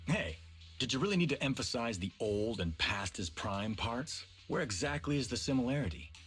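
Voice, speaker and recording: A man's voice: medium pitch, expressive, normal speed, fairly bright tone, soft background music, lightly acted. A man playing a proud, grumbling older tiger-man in a video game dub, with a dry, skeptical manner. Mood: indignant.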